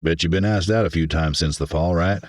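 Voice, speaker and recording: A man voicing a cheeky, folksy young man with a booming, jovial manner in an audiobook. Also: a little fast, no echo, clean studio sound. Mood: jovial, curious.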